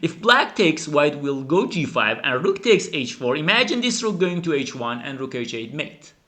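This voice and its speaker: Guy doing excited chess commentary, non-native english, sharp bright voice, a bit high, really emphatic. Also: normal speed. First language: not English. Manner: dramatic, emphatic, acts out the players' thoughts. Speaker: chess teacher and channel host. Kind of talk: animated chess game commentary.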